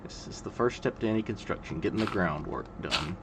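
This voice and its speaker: A man in his twenties giving calm, monotone, rambling gameplay commentary, full of fillers. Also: deep voice, fairly quick. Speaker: gaming video creator. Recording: loud background noise.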